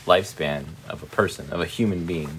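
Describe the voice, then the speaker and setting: A man's voice: low-pitched, a little fast, a little hiss or hum. A man in his forties co-hosting a podcast in casual, joking chat, laughing often. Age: 40s. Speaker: podcast co-host.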